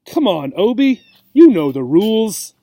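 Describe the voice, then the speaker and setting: Man doing a shrill, bossy, teasing cartoonish cat. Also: very animated, a little slow, dark tone, dry recording, full character voice. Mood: impatient. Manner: impatient, taunting, giggly. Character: gleeful, teasing, bossy female house cat.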